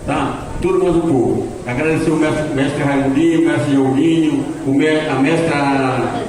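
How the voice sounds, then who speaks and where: A man's voice: a little high, steady tone, normal speed, darkish tone. A man, president of a cultural association, giving a rambling, grateful thank-you speech at an event. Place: cultural center hall. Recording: echoey hall, distant mic, crowd.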